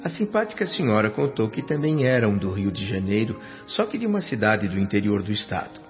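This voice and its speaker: Low, reflective Brazilian man narrating. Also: big pitch swings, fairly quick. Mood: moved, content. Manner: reflective, earnest. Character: grateful, wonder-struck doctor newly in afterlife, narrating. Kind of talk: radio drama narration. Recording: muffled radio drama with music.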